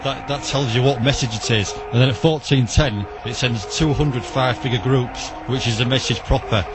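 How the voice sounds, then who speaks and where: A man's voice: medium pitch, fairly even intonation, normal speed. A British man, a radio hobbyist, being interviewed informally in a matter-of-fact, explanatory way. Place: outdoors in an urban back alley. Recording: noisy outdoor location with radio sound.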